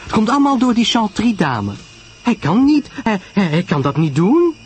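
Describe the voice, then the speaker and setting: Man voicing an excitable, high-pitched society lady. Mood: shocked disbelief. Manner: breathless, halting, repeating words in agitation. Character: excitable, breathless young society woman, shocked and curious.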